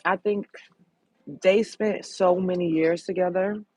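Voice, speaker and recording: Deep-voiced sultry woman host, gravelly. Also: fairly even intonation, measured pace, darkish tone, dry recording. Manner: candid, blunt. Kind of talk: casual livestream chat reading comments.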